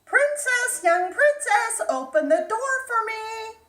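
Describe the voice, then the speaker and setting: A woman voicing an insistent, pleading frog in a fairytale, calling out in drawn-out appeals. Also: very high voice, lively intonation, fairly bright tone, pitched above the performer's own voice, heavily acted. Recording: roomy echo, distant microphone.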